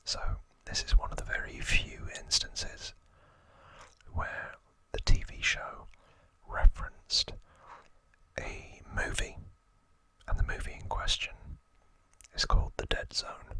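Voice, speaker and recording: A man whispering softly in a breathy, unhurried ASMR style as he explains trivia informally, pausing between short phrases. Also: dry recording. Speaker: ASMR video host.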